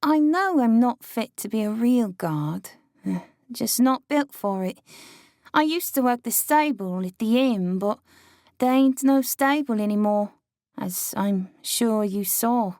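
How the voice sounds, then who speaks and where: A man's voice: extremely high pitch, expressive, takes it slowly, darkish tone, no room sound, clean studio sound, pitched above the performer's own voice, exaggerated voice. A male narrator voicing a cheerful, blunt young woman training as a guard, with a chatty, folksy way of talking.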